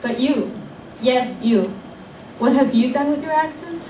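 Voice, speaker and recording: A woman's voice: high-pitched, lively intonation, unhurried, heavy background noise. A woman performing spoken word poetry in a rhythmic, dramatic way at a community event. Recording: echoey hall, mic far off.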